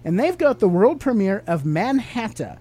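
A man's voice: high voice, big pitch swings, normal speed, dark tone, slightly rough, faint music behind. A man with an American accent co-hosting a podcast in a casual, teacherly chat, asking questions and explaining things simply to a child. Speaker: podcast co-host.

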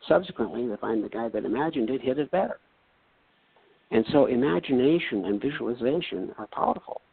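A man's voice: medium pitch, very animated, fairly quick, creaky, breathy edge, dry recording. A man in his seventies from North Dakota, a retired doctor telling a personal story in a folksy, earnest way and acting out the things people said to him. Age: 70s. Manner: plain storytelling, folksy and earnest, acts out quoted exchanges. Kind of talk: personal story, casual talk. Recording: tinny phone-like line, dry.

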